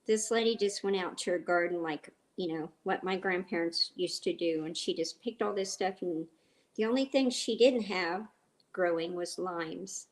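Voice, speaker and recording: A middle-aged woman with a raspy voice from a cold, chatting in a rambling, easygoing way as she hosts a cooking livestream and answers viewers. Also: fairly low pitch, normal speed, rough recording. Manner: chatty and rambling, reads viewer comments aloud. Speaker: livestream host. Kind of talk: casual cooking livestream chat.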